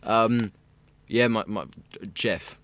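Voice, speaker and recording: A man's voice: fairly low pitch, very slow, a bit bright. A young man from South Africa, a radio student chatting easily and at length in an interview. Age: young adult. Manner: chatty, rambling and easygoing. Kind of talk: casual interview chat.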